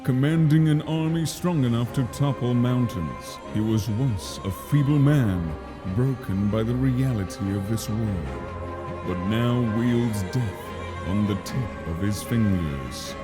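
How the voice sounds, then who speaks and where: Man doing a slow, grave game boss announcer. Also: mid-range voice, natural voice. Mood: grand, menacing. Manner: solemn, portentous, deliberate. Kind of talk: dramatic scripted boss-introduction narration. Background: dramatic music.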